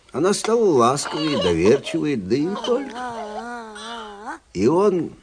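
Older army captain, kindly folksy storyteller, slow pace, animated intonation, radio drama. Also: male voice, mid-range voice. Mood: engaged storytelling. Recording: radio play with faint music.

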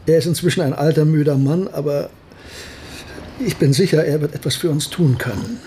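A scholarly professor in a radio drama, played by a male actor, speaking and narrating in measured, deliberate phrases. His voice sits a little high for a man, with light background noise around it. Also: mellow, natural voice. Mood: earnest, persuasive. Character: scholarly male professor advising a military officer, also narrating.

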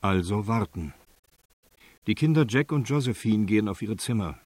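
A man reading scripted narration for a radio drama in a factual, measured way. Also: lowish voice, lively intonation, a little mellow, breathy edge, no room sound.

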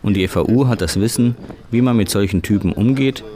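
A man reading a German voice-over translation of an interview in a steady, measured way. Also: lowish voice.

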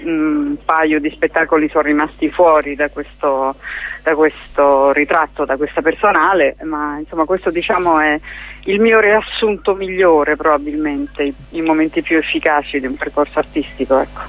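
A woman's voice: low-pitched, steady tone, moderate pace, dry recording. A woman, speaking as a theatre-maker being interviewed on radio in a wry, self-ironic, conversational way, on a slightly dull recording. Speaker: playwright and theatre director, interview guest.

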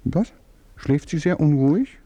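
An actor playing a tender aristocratic baron who speaks intimately and softly in a radio play. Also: male voice, unhurried, no echo. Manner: intimate, soft-spoken, lively pitch.

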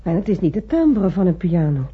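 A woman's voice: fairly low pitch, sing-song, a little fast, slightly rough, full character voice. An actress playing an anxious, insistent female patient in a radio drama, speaking earnestly. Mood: searching, eager. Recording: muffled old radio recording.

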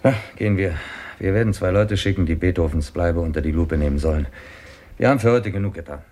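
Low-voiced commanding police inspector. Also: male voice, fast talker, a little mellow. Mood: reflective. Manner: terse, authoritative, questioning. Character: calm, commanding Scotland Yard police inspector, male. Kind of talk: 1960s German radio crime drama acting. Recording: noisy 1960s radio drama recording.

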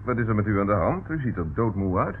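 An actor playing a polite, probing young police inspector in a radio drama, speaking in a courteous, measured way. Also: male voice, lowish voice, sing-song. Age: young adult. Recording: narrow tinny old radio sound, faint hiss.

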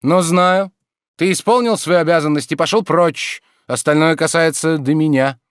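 A man voicing a drowsy, peevish gentleman master in a literary story, pompous and grumbling, imperious yet whining to be left asleep. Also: fairly high pitch, normal speed, clean tone, no echo, studio quality, voice pushed up, close to an everyday voice. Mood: grumpy, stern.

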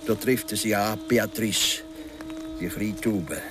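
A man's voice: lowish voice, over music. Swiss German storytelling man. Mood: grave, dramatic. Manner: deliberate, dramatic storytelling with rhetorical questions. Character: local Swiss man, gorge guide, philosophising storyteller. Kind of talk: radio drama, telling a folk legend.

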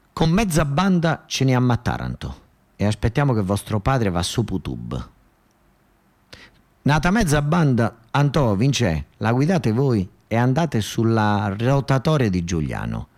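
A man's voice: fairly low pitch, darkish tone. A man voicing a bossy, determined young Neapolitan woman who gives emphatic orders in dialect to her brothers in a stage monologue. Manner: emphatic, commanding, dialect-laden.

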